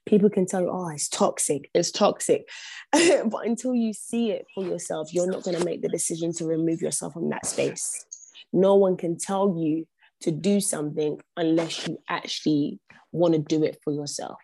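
A woman's voice: on the low side, moderate pace, warm tone, slight background noise. A young woman, a podcast guest whose first language is not English, speaking earnestly and repeating phrases for emphasis. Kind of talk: reflective podcast conversation.

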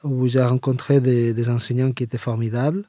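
A man's voice: medium pitch, little pitch movement, fairly quick, warm tone, dry recording, studio quality. A man from Spain speaking French with a Spanish accent, answering interview questions in a conversational way as an engineer. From Valencia area, Spain. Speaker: interviewee, engineer and architecture firm co-founder.

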